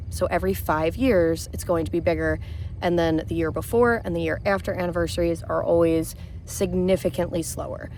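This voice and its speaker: A woman with a hoarse voice, speaking as a rally vendor in a chatty, matter-of-fact way. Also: on the low side, darkish tone, slight background noise. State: hoarse, losing her voice. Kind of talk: casual vlog chat to camera. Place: outdoor campground.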